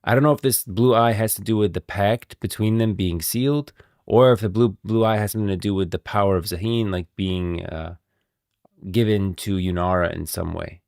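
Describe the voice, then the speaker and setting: A man's voice: lowish voice, moderate pace, creaky, a little mellow, no echo, studio quality. A man doing casual live-stream commentary, talking in an emphatic, repetitive, slangy way.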